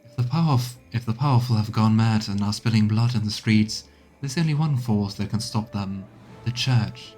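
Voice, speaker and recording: A man's voice: on the low side, moderate pace, darkish tone, soft background music, lightly acted. A man voicing an earnest military adviser, reading video game dialogue in a firm, formal manner. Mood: firm, resolute.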